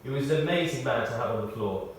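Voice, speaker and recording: A man reading a prepared tribute aloud in short, measured phrases, with wry storytelling. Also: mid-range voice, expressive. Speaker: tribute speaker. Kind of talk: read-out memorial tribute with anecdotes. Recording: echoey hall, distant, faint noise.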